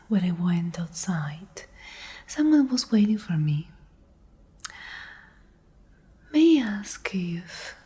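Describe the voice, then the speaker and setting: A woman playing a menacing, possessive female pack Alpha in a soft, condescending ASMR roleplay whisper. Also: mid-range voice, full character voice. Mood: taunting, menacing. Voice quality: breathy whisper. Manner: slow, deliberate, condescending.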